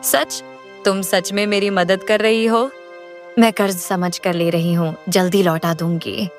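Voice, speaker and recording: A woman's voice: medium pitch, little pitch movement, unhurried, faint music behind, lightly acted. A woman playing a needy, grateful village neighbour in a moral story. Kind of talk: dramatized Hindi moral story.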